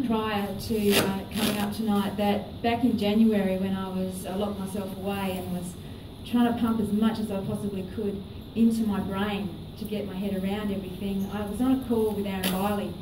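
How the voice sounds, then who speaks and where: A woman's voice: mid-range voice, flat delivery, moderate pace, hardly any pauses. A middle-aged woman telling her own story at a meeting, earnest and hesitant with many fillers. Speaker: business presenter. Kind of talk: personal testimony at a meeting. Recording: echoey room, distant mic, noisy.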